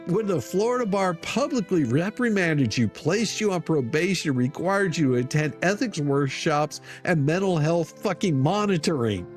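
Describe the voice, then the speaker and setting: A man's voice: on the high side, expressive, moderate pace, hardly any pauses. A man giving a dramatic, scathing reading of an open letter in a mocking, theatrical, profanity-laced way. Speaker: online commentator. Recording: music under the voice. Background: music.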